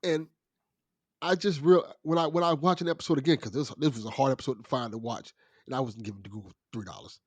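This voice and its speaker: A man's voice: mid-range voice, fast talker, dry recording. A man in middle age talking as a guest in a casual podcast discussion. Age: 40s or older.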